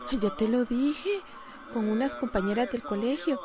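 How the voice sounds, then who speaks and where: A woman's voice: fairly high pitch, animated, moderate pace, crowd noise, close to an everyday voice. An actress playing a worried, placating mother and wife in a radio soap opera, with a Costa Rican accent.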